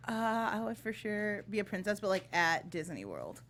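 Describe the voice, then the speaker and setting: A woman's voice: mid-range voice, big pitch swings, normal speed, fairly bright tone, a little hiss or hum. A woman co-hosting a casual panel chat in a playful, emphatic, chatty way, peppered with "like". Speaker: talk show co-host.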